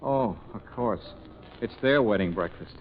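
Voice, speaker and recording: A man's voice: lowish voice, animated, a little slow. An actor with an American accent playing a flustered, hesitant lovelorn man in 1940s radio comedy style. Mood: dejected, resigned. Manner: hesitant, exclaiming, conversational. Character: George Valentine, flustered lovelorn man turning gracious. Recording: muffled old radio broadcast recording.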